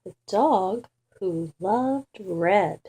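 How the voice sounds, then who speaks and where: A woman reading a children's storybook aloud in an expressive, deliberate and emphatic way for young listeners. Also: mid-range voice, husky. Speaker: children's book reader.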